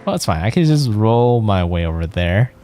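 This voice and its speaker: A man's voice: fairly low pitch, sing-song, normal speed, dark tone, soft background music. A man streaming a let's play, musing to himself in casual commentary. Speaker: game streamer.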